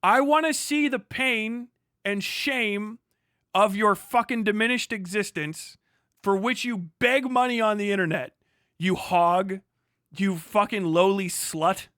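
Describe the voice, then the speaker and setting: High voiced guy on a stream, sarcastic sweary rant, really dry close sound. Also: pushed and bright, no room sound. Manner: sarcastic, exasperated ranting. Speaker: livestream host. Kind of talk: profane mocking reaction commentary.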